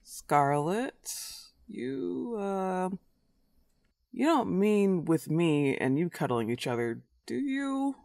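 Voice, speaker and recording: A woman's voice: on the low side, big pitch swings, slow pace, darkish tone, no room sound, heavily acted. Cocky, possessive werewolf girl voiced by a woman, close and dry. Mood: awkward. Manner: playful, taunting, swooping emphasis. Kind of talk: comedic roleplay audio drama.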